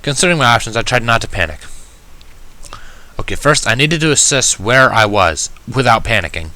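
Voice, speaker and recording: Bright-voiced man reading a story. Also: mid-range voice, lively intonation, fairly quick. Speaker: fan fiction reader. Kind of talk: first-person audiobook-style story narration.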